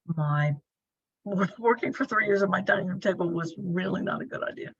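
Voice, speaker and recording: A middle-aged woman chatting casually and apologetically as she hosts an online club meeting from a bedroom office, with a husky voice. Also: on the low side, normal speed. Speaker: club meeting host, filling in for the president. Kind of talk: casual pre-meeting chat on a video call. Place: bedroom used as a home office. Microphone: video call. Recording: dull, lo-fi video call audio.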